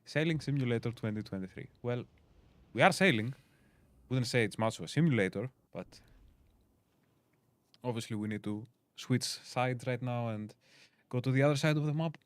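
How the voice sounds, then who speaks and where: A man's voice: medium pitch, moderate pace, dry recording. A Greek man in his twenties, chatting casually and hesitantly as a community stream host while playing. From Greece. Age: 20s. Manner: rambling, filler-heavy, hesitant. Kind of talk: casual chat while gaming.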